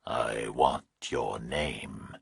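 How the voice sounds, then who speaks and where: A menacing American kidnapper on the phone, as a male narrator puts him on, speaking in an extremely deep, gravelly whisper. He is patient and in command, stretching out every word with cold calm in a thriller audiobook. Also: no echo, clean studio sound, heavily acted. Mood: calm menace. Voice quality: slow, deep, gritty, whispery.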